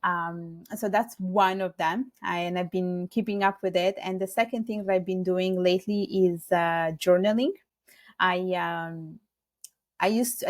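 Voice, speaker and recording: A woman's voice: lowish voice, darkish tone, dry recording. A woman from Italy whose first language is Bengali, a podcast guest talking in an earnest, reflective way and giving advice. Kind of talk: casual interview chat.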